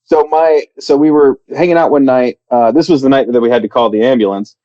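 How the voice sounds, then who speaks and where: A man's voice: medium pitch, a little fast, dark tone, no echo, muffled recording. A man chatting casually on a podcast, telling a long story with lots of fillers and restarts. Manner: rambling, many fillers and restarts. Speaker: podcast co-host.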